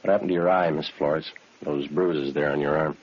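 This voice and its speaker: A man's voice: rumbling low, fairly even intonation, normal speed, husky. A male actor playing a terse, methodical police detective who narrates a vintage radio drama in a matter-of-fact way. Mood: terse, probing. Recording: muffled old radio recording with music.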